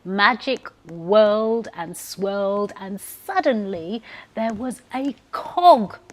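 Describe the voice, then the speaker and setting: Female children's storyteller, medium pitch, measured pace, expressive scripted narration. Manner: expressive, emphasizes sound words. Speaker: professional storyteller. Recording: music behind the voice. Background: music.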